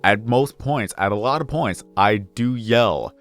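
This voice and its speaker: Young guy w a low baritone voice rambling thru minecraft commentary, keeps correcting himself. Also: unhurried, a bit bright. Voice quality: deep baritone voice. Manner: rambling, self-correcting, repeats phrases; puts on extra energy for videos. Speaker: gaming YouTuber. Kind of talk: casual let's play commentary.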